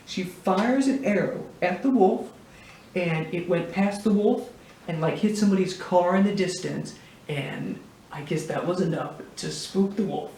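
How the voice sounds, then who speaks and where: A man's voice: high voice, creaky, darkish tone. A man acting a crude, incredulous guy who rambles through a casual comic yarn with plenty of swearing and filler. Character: crude, slacker-ish guy spinning a wild camping yarn. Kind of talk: casual comic anecdote monologue. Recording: echoey room, distant mic, faint hiss.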